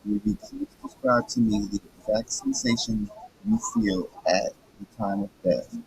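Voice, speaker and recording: A hoarse man reading the lines of a male doctor questioning a patient in a calm voice. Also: medium pitch, measured pace, slight background noise, exaggerated voice, rough recording.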